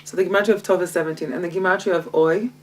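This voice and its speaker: A woman, a Hebrew speaker, teaching a Torah lesson with a lively, self-mocking manner and frequent laughter. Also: fairly low pitch, racing. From Israel. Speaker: guest teacher. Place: home library. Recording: distant mic.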